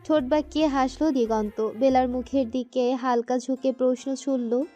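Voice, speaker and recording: High, mellow woman storyteller over music. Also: steady tone, moderate pace. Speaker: story narrator. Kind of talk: scripted romantic audio story narration.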